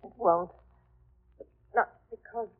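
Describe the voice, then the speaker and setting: A woman's voice: mid-range voice, takes it slowly, narrow, tinny audio. An actress playing a villain's hot-headed, impatient, defiant female accomplice in a vintage radio drama. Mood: tense. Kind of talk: 1940s radio drama acting. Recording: noisy band-limited old radio recording.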